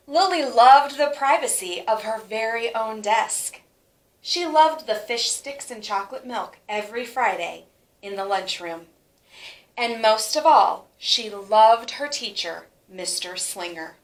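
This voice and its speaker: A woman reads a picture book to young children in a fairly high voice. Her phrasing is expressive and emphatic, and the room adds a clear echo around her words. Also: a little slow. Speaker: teacher reading a picture book aloud. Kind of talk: expressive children's storybook read-aloud.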